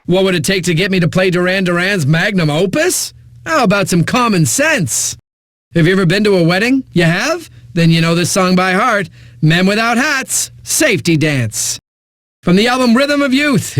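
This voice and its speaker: An actor playing a cocky, flippant eighties-hits radio DJ in a video game, with smug, punchy announcing and dramatic pauses. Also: male voice, high-pitched, big pitch swings, a bit bright, dry recording, clean studio sound. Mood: playful, hyped. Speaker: radio DJ, host. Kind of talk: 1980s music radio DJ patter.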